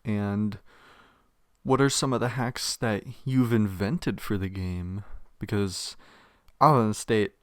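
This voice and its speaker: شاب بصوت منخفض ناعم وواضح وجاف. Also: male voice, on the low side, slow, no room sound, clean studio sound. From Minnesota. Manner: laid-back, chuckles often, short backchannel replies. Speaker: podcast host. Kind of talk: casual podcast interview.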